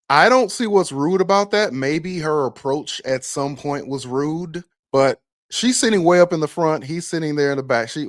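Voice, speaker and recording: A man's voice: fairly high pitch, no echo, studio quality. An American man giving casual, opinionated reaction commentary as a bus operator and host, emphatic and fond of rhetorical questions.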